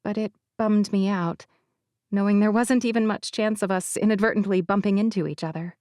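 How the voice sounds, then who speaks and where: A woman's voice: medium pitch, steady tone, brisk, a little mellow, no echo. A woman reading a romance audiobook in the first person, wry and confiding, in a conversational tone, close to a studio mic. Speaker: audiobook narrator.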